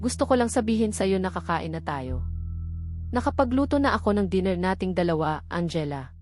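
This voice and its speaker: A Filipino woman voicing an earnest, caring young man in a romance audiobook, gently coaxing. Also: fairly low pitch, expressive, fairly quick, close to an everyday voice. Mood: earnest, inviting. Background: background music.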